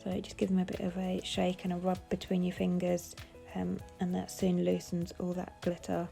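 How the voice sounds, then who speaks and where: A woman with a cold, sounding bunged up, calmly explaining each step of her craft project in a casual tutorial voice-over. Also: lowish voice, normal speed, vocal fry, soft background music. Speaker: papercraft designer.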